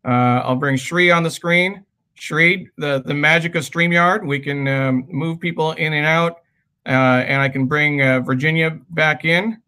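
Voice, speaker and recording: Bright-voiced man hosting a livestream. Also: on the high side, fairly even intonation, a little slow, clear, resonant tone. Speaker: livestream co-host. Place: video call livestream.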